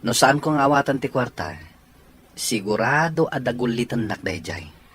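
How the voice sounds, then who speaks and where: A man's voice: medium pitch, expressive, moderate pace, fairly bright tone, slight background noise, close to an everyday voice. An actor playing an earnest, worried young man about to marry, in a radio drama. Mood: earnest, resigned.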